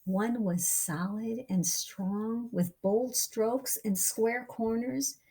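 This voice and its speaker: A woman reading a picture book aloud as a storyteller, with expressive, deliberate and dramatic phrasing for young listeners. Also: fairly high pitch, slightly rough. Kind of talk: expressive children's read-aloud narration.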